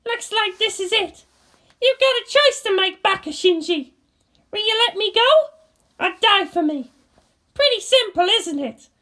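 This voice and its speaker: A woman voicing an anguished, defiant teenage girl pilot in an audio story, bitter and forceful, her voice breaking with emotion. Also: extremely high pitch, lively intonation, a little slow, voice pushed up, heavily acted. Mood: bitter taunting. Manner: forceful, emotional, pleading outbursts. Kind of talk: dramatic fan fiction reading.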